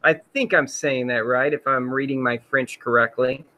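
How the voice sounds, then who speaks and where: A man's voice: mid-range voice, fairly even intonation, moderate pace, sharp tone. A man hosting a casual livestream, rambling with many fillers while he reads out chat comments. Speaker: host.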